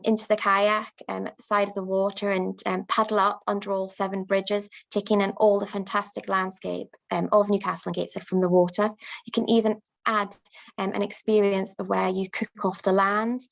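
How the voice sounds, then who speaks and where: Woman promoting a city to event planners, upbeat and unscripted, mid-pitch voice with a gravelly fry. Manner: frequent "um" fillers, upbeat. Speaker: destination promoter.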